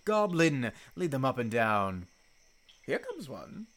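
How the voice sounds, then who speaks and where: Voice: medium pitch, sing-song, slow pace, a bit bright, exaggerated voice. An actor playing a mischievous goblin spirit in a Shakespearean radio play, delivering playful rhymes in a theatrical way. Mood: gleeful, scheming. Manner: playful, rhythmic rhyming.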